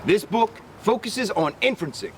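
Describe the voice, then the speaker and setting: Man, storytime read-aloud, lively swooping pitch, gravelly edges, teacherly questions, distant mic with rumbly noise. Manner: animated, pausing to quiz listeners. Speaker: teacher reading a picture book aloud. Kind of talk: expressive children's read-aloud.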